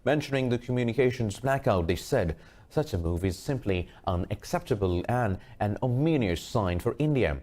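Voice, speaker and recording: A man reading a scripted news bulletin as anchor, formal and measured. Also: medium pitch, animated, darkish tone.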